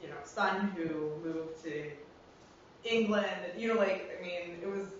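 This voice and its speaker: A woman's voice: on the low side, a little slow. A young woman with an American accent giving an informal student research presentation in a hesitant, rambling, casual way. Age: young adult. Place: reverberant indoor room, recorded from afar. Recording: very echoey room, distant muffled mic, noisy.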